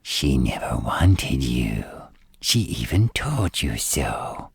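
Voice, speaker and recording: Roleplay villain: a lying, possessive gremlin, very raspy menacing whisper, extremely low, dry sound. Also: male voice, sing-song, no echo, exaggerated voice. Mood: taunting, cruel. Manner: slow, menacing, insinuating. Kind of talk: roleplay audio drama acting.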